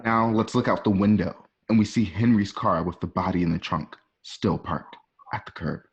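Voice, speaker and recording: A man's voice: deep voice, steady tone, normal speed. Man narrating a script, slightly muffled. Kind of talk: reading screenplay stage directions aloud. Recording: dull lo-fi home recording.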